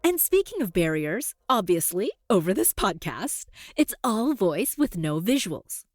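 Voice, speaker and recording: A woman in her forties, a native Cantonese speaker, hosting a podcast in an upbeat, clear and deliberate scripted monologue. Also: medium pitch, sing-song, dry recording, clean studio sound. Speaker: podcast host.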